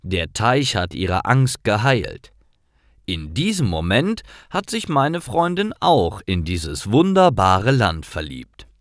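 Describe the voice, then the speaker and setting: A man reads short stories for language learners in a lowish voice with lively intonation. He takes his time over simple sentences. The recording is dry and close. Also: slow pace, no echo. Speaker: narrator of German learning stories. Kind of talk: scripted storytelling for language learners.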